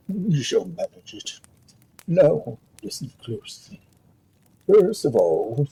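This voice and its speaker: Storybook stallion, played by a male reader: wise, loyal counsellor, very husky voice, measured and lively in pitch. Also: exaggerated voice, poor audio. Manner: measured, instructing, reassuring. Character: wise, loyal talking stallion who counsels his master.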